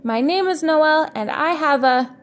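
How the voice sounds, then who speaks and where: A woman voicing a cheerful, big-hearted Christmas ornament spirit from a storybook, exclaiming excitedly in a homemade recording. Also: high-pitched, measured pace, voice pushed up. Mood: exuberant. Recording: clear background noise, homemade recording.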